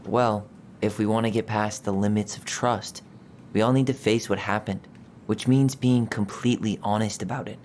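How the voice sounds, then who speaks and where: A man's voice: fairly low pitch, steady tone, normal speed, a little mellow, slight background noise, natural voice. An actor playing an earnest, probing young man in a naturalistic video game drama. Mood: probing, guarded. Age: young adult.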